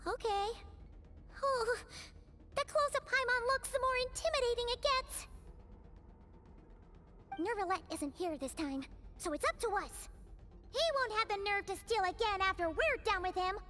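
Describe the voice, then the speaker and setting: A woman voicing a tiny, excitable female companion sprite who talks about herself in the third person. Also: very high-pitched, moderate pace, long pauses, exaggerated voice. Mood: curious. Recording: game audio with soft music behind.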